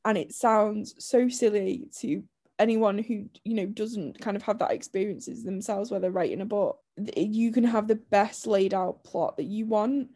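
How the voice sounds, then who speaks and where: A woman co-hosting a podcast in casual chat, her voice croaky from a lingering chest illness, talking chattily with frequent laughs and restarts. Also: medium pitch, normal speed, warm tone. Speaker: podcast co-host.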